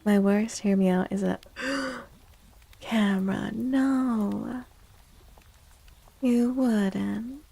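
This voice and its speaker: Soft, slow-talking ASMR woman with creaky voice. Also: fairly high pitch. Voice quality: soft, breathy, often slipping into whispers. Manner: gentle, unhurried, often repeats phrases. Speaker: ASMR live streamer, host. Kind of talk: soft-spoken ASMR chat with live viewers. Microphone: close microphone.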